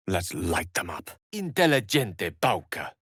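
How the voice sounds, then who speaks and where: Bookish british wizard from a video game, proud n romantic, lowish voice, really dry close recording. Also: male voice, big pitch swings, measured pace, a bit bright, no room sound, full character voice. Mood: battle-ready, forceful. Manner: eloquent, bookish, slightly pompous phrasing. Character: learned, eloquent adult male wizard, proud and romantic. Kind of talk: video game character voice lines.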